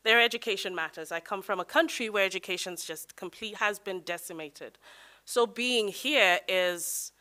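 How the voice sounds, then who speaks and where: A woman's voice: mid-range voice, moderate pace, bright, forceful tone. A woman from Africa earnestly describing her first conference of its kind. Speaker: conference attendee.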